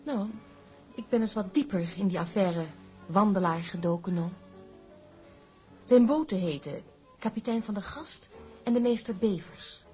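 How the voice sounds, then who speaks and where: A woman's voice: mid-range voice, a little slow, long pauses, darkish tone, soft background music, natural voice. An actress playing a passionate, lovestruck female newspaper reporter in a Dutch drama, emotional and intense. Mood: cool, probing. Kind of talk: Dutch broadcast drama acting.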